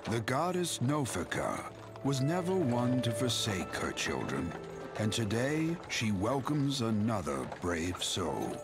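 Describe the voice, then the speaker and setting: An actor playing a solemn, portentous narrator of an ancient realm in a fantasy video game. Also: male voice, medium pitch, wildly swooping pitch, takes it slowly, full character voice. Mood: solemn, grand. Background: prominent music.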